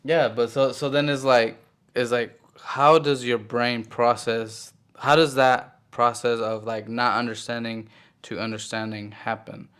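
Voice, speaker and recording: A young man with a smooth, clean voice at an average pitch talks over a casual podcast chat. He wanders through his thoughts, leaning on filler words as he works out ideas with genuine curiosity. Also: level tone, measured pace, a bit bright. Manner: rambling, full of "like" fillers, curious. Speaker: podcast co-host. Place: home podcast setup.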